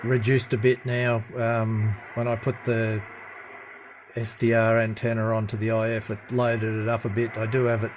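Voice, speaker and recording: A man giving a casual hands-on demonstration as a radio hobbyist, with a low-key, halting delivery. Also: monotone. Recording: noisy room with radio static. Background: CB radio chatter and static.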